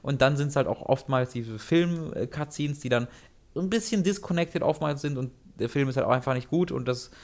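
A young man talking about anime and video games in a casual podcast chat, animated and rambling with many restarts. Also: medium pitch, normal speed. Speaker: podcast co-host.

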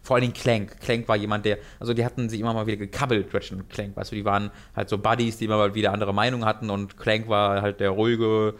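A young man talking about anime and video games in a casual podcast chat, animated and rambling with many restarts. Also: fairly low pitch, fast, few pauses. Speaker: podcast co-host.

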